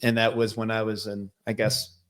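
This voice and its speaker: A man with a Michigan accent, a guest telling his life story in a relaxed, rambling way with lots of fillers. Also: on the low side, normal speed. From central Michigan. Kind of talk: casual interview storytelling.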